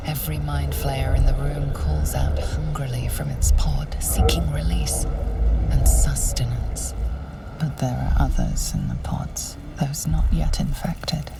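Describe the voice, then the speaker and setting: Hushed, low, creaky game narrator. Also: female voice, recorded from a distance, heavily acted. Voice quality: breathy, often near a whisper. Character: hushed, ominous female fantasy narrator.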